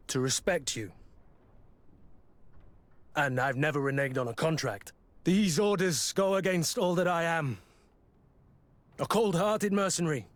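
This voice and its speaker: A male actor voices a hardened mercenary in a fantasy video game. His voice is medium in pitch, with stern, clipped delivery. He sounds blunt and soldierly, a man of honour. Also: no echo, natural voice. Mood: solemn. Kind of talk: dramatic video game acting.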